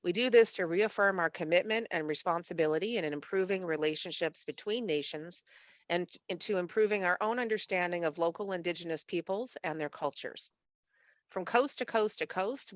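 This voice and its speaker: Woman hosting a webinar, kinda nasal from a cold, lowish voice, reading from notes, dry close mic. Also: dry recording. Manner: measured, read-aloud delivery with occasional fillers. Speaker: webinar host, executive director of a literacy network. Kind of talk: scripted webinar presentation.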